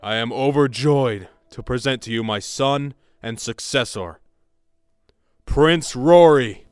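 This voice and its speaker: Man acting a stately, clear-voiced fairy-tale king. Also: medium pitch, slow, no echo, studio quality, natural voice. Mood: proud, solemn. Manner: formal, stately, proclaiming. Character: proud, gracious fairy-tale king addressing his people. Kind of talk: audio drama acting.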